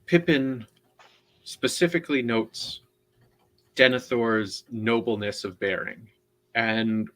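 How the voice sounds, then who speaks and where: Slow, halting man explaining lore. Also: medium pitch, steady tone, a bit bright. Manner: halting and explanatory, many restarts and "right?". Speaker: co-host and panelist. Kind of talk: casual online book discussion.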